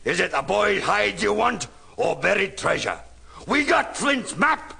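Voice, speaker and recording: An actor playing a cunning, gruff pirate with a gravelly voice and a drawling, commanding seafaring dialect in a storybook drama. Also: male voice, high voice, big pitch swings, measured pace, bright, forceful tone, heavily acted. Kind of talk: mid-century storybook record acting. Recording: orchestral music behind.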